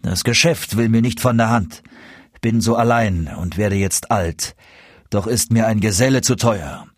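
A weary, ageing storybook cobbler, voiced by a male reader, with a husky tone. He sounds lonely and plaintive, a grieving father talking haltingly. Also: lowish voice, no room sound, clean studio sound. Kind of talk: storybook character voice in a fairy tale reading.

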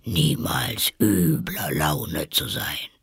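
A reader voicing a moralizing old mother toad in a storybook, slow and preachy. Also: extremely low pitch, creaky, a bit bright, husky, dry recording, studio quality, exaggerated voice. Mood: admonishing.

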